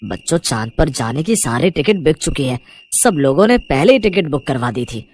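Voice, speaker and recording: A man's voice: on the high side, very animated, normal speed. A man playing a poor, kindly rickshaw-puller father in a cartoon moral story, polite and reassuring.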